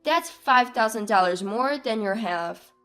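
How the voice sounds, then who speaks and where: Indignant wife in a story drama, played by a woman, high-pitched, animated, demanding answers. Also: unhurried, soft background music. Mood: exasperated. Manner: emphatic, questioning, argumentative. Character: indignant, exasperated young wife standing up for herself. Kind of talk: acted dialogue in a narrated family drama story.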